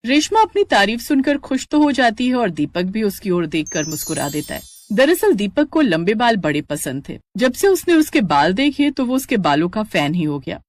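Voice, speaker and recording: A woman's voice: medium pitch, sing-song, normal speed, hardly any pauses. A woman narrator reading a scripted Hindi cartoon story.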